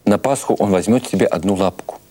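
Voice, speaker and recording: Man as a low-voiced, mournfully deadpan trickster. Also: a little hiss or hum, natural voice. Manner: mournful, deadpan, unhurried solemn fibbing.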